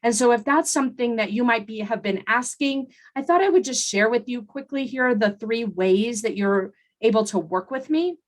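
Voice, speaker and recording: A woman's voice: on the high side, normal speed, few pauses. Conversational woman podcast host, coaching. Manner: conversational, with fillers and restarts. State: recovering from laryngitis. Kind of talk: solo podcast monologue.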